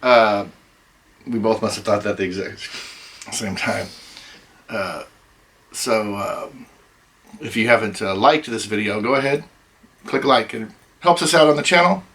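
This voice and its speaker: A middle-aged man hosting a casual chat, rambling with many ums, his voice going hoarse. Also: lowish voice, measured pace, pauses often, a bit bright. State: losing his voice. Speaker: host.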